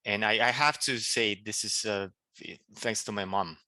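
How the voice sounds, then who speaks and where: A man from Poland with a Polish accent, answering interview questions in a halting way with many restarts. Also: fairly low pitch, pushed and bright, no room sound. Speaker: political candidate.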